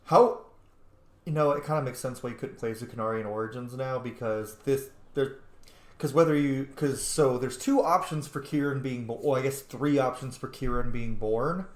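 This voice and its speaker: Guy doing chatty casual lets play commentary, enthusiastic, normal pitch, really echoey room. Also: a little fast. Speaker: gaming host doing a roleplay playthrough. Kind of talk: casual play-by-play commentary with fan lore asides.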